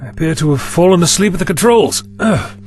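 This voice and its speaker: A man's voice: high voice, animated, fairly quick, a little mellow, a little hiss or hum. A man playing a courteous, absent-minded time traveler in an audio drama, old-fashioned and polite. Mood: puzzled.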